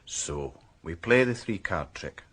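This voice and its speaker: An actor playing a shrewd, confident senior detective in a radio drama, laying out plans in a measured, husky voice. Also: male voice, low voice, expressive, a bit bright, heavily acted. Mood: sly, confident. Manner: measured, assured, explanatory. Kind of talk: naturalistic radio drama dialogue.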